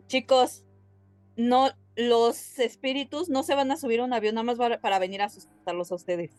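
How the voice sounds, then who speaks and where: A woman's voice: on the high side, normal speed, a little mellow, no room sound, soft background music. A woman co-hosting a podcast in a casual, joking chat style. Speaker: podcast co-host.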